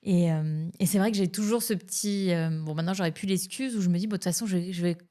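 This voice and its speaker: A woman's voice: fairly low pitch, quick pace, dark tone. A woman in her thirties co-hosting a podcast, chatting casually with a self-deprecating, hesitant manner and frequent laughter. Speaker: podcast co-host. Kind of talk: casual chat.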